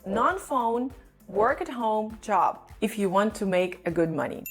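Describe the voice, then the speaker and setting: A woman who is not a native English speaker presents an explainer to online viewers, speaking in a direct, instructive way and often posing questions she then answers. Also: medium pitch. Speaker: online video host, digital marketing agency owner.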